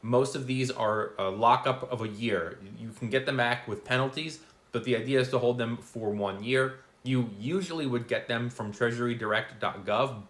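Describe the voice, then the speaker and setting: A man's voice: medium pitch, bright, forceful tone. A man in his forties hosting a casual finance explainer, chatty with offhand asides. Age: 40s. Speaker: finance video host. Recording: roomy echo.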